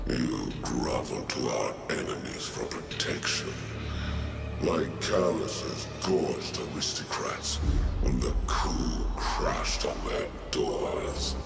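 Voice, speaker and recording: An actor voicing a huge, brutal alien warlord, slow and mocking, with a deep gravelly growl, in a game cutscene. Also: male voice, full character voice. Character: brutal war-god alien warlord, male, huge, menacing. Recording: echoey game cutscene with loud music.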